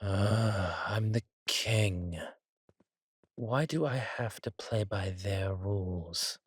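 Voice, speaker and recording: A husky, breathy villain king, acted by a man, drawling slowly in a dry, close recording. He is arrogant and sardonic, a theatrical sulker with a cruel streak, in the manner of a roleplay audio drama. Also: lowish voice, no echo, full character voice. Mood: petulant, indignant. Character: arrogant, petulant villain king, cruel yet doting on his consort.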